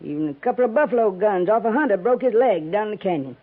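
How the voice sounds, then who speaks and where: Tough old American frontier widow, tinny radio. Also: female voice, medium pitch, expressive, fast talker, breathy edge. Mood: gruff, matter-of-fact. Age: older. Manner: curt, scolding, folksy. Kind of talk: 1950s radio western acting. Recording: tinny, narrow-band 1950s radio recording.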